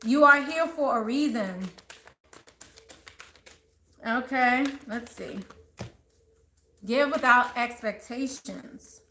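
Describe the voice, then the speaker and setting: Bright, chatty woman reading tarot cards. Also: fairly high pitch, takes it slowly, long pauses. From born in Texas, raised in the Maryland and DC area. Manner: chatty, laughs often, talks to viewers. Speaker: tarot reader. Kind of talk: live tarot reading chat. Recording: echoey room with faint noise.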